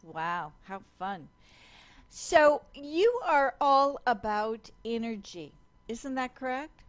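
A woman hosts a talk radio show, enthusiastic and upbeat. She talks slowly and deliberately. Her voice sits slightly high. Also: expressive.

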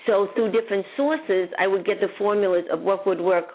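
A woman's voice: medium pitch, brisk. A woman from Hungary, a psychic guest, talking in a rambling, chatty way and laughing often. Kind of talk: casual interview chat. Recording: phone-line audio.

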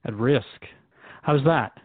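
A man's voice: medium pitch, slow pace, breathy edge, no echo. A man playing an earnest radio host in a radio drama, asking curious questions and voicing mild worry. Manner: earnest, questioning, nervous laughter. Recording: dry tinny phone-like sound.

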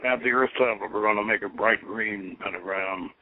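A man leading a ritual as host, moving between informal instruction and solemn invocation in a halting, deliberate manner. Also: fairly low pitch, a little hiss or hum. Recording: narrow tinny phone-like radio sound.

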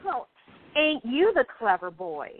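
A woman's voice: fairly high pitch, a little slow, slight background noise. A woman playing a feisty, sarcastic but fond young actress in a radio play, with a sassy delivery. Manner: sassy, expressive. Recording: tinny, band-limited phone-like sound.

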